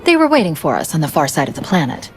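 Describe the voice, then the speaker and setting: A woman's voice: low-pitched, wildly swooping pitch, fast. A woman playing a wry, self-assured ship's artificial intelligence in a video game, cool and knowing as she briefs. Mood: wry, matter-of-fact. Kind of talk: video game cinematic dialogue. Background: music.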